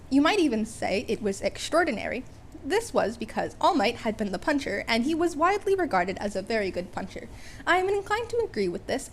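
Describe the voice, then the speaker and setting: High-voiced woman reading a comic story. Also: a little hiss or hum. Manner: wry, stumbles and rereads phrases. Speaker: amateur audiobook reader. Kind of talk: scripted comic story narration.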